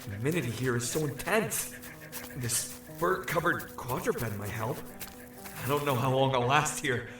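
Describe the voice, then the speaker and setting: An actor voicing an anxious young shapeshifter from another realm in fretful audio drama monologue, muttering to himself. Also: male voice, medium pitch, normal speed, reverberant, over music, lightly acted. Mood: panicked. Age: young adult.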